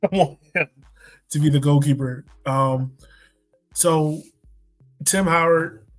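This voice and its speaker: A man with a fairly high voice hosts a casual sports podcast. He speaks informally and with enthusiasm, and music plays behind him. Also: slow pace. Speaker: podcast host.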